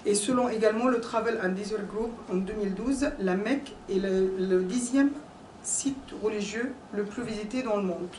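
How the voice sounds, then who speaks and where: A woman's voice: mid-range voice, darkish tone. Hesitant non-native woman in echoey hall. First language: not French. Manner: hesitant, many pauses and fillers. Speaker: conference speaker. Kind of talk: formal conference talk. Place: conference hall. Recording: echoey hall, distant mic, background noise.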